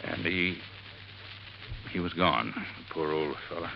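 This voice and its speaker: A folksy, rambling desert resort handyman in a 1950s radio drama, his voice sitting very low. He drops his g's and spins his story at his own pace. The recording is muffled, with a faint hiss underneath. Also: slow, creaky, full character voice. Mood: earnest, folksy.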